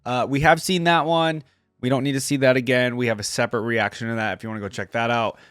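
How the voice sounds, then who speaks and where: A man's voice: medium pitch, fairly even intonation, fast, a bit bright, smooth, dry recording, studio quality. A man hosting a reaction video, thinking aloud informally as he comments and reads text out. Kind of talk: casual reaction commentary mixed with reading story text and game descriptions aloud.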